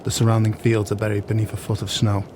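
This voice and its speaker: A man narrating scene directions for an audio play in a measured, descriptive manner. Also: lowish voice, monotone, noisy background.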